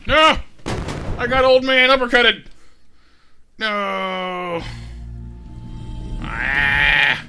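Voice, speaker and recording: A man's voice: high-pitched, extremely slow, pauses often, sharp tone, soft background music. A man live-streaming a game, chatting playfully with his chat, his voice getting scratchy late in the session. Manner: playful, chatty, sings silly bits. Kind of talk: casual gaming stream chat.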